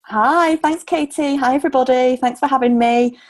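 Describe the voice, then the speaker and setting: A woman's voice: sits high, moderate pace. A woman in her thirties with a Yorkshire accent, talking easily as a guest in a casual interview. She chats freely and laughs often. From Hull, Yorkshire, England. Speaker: guest, relocation coach.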